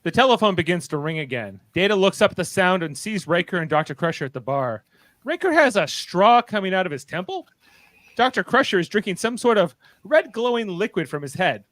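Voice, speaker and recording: Higher-voiced male narrator, dry sound. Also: sing-song, fairly quick, a bit bright, no room sound. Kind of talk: reading stage directions with casual asides.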